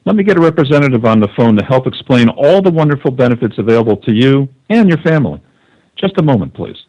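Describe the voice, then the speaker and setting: Brisk, lively man's corporate phone greeting. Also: mid-range voice. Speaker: company executive in a recorded welcome greeting. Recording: dull telephone line.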